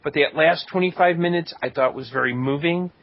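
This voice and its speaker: A man's voice: fairly high pitch, a little fast, vocal fry, no room sound. A man co-hosting a podcast, giving blunt, sarcastic opinions in casual chat. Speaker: podcast co-host. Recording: dull lo-fi podcast audio.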